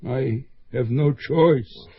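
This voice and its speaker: An actor playing a bitter, protective older father in a radio drama, with a husky voice. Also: medium pitch, extremely slow, no echo, exaggerated voice. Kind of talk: 1970s radio drama acting. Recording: dull lo-fi old radio recording.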